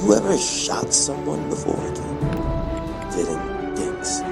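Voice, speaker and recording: A man playing a rough, bullying thug in an audio drama, with a gravelly voice. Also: a little high, wildly swooping pitch, takes it slowly, heavily acted. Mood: taunting. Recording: loud music under the voice.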